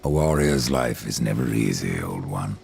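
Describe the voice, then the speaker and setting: A man playing a grim, sardonic horseman of death in a dark fantasy game, with a gravelly rasp and a terse, menacing delivery. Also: rumbling low, lively intonation, moderate pace, a little hiss or hum, heavily acted. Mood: cold, defiant.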